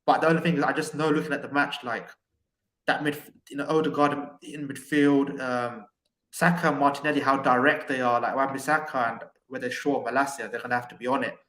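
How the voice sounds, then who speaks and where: A man's voice: mid-range voice, flat delivery, brisk, a bit bright, echoey room, low-quality recording. A man calling in to a football show, talking casually and animatedly with many restarts. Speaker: caller.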